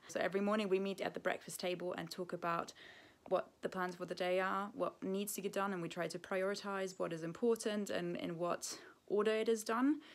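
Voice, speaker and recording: A young woman talking to the camera in a relaxed, chatty way as she vlogs from her rural homestead. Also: lowish voice. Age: young adult. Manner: relaxed, chatty, with soft laughs. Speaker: homesteading vlogger. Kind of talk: casual vlog talk to camera. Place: outdoor shelter on a rural homestead.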